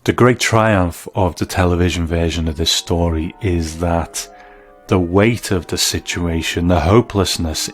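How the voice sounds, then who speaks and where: A man with a very deep voice presents as a host, reading measured review commentary. Soft music plays underneath him. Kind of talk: scripted review narration. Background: soft background music.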